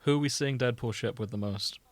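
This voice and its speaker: A man's voice: medium pitch, brisk. Male podcast host steering casual banter. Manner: keeps steering the discussion with questions.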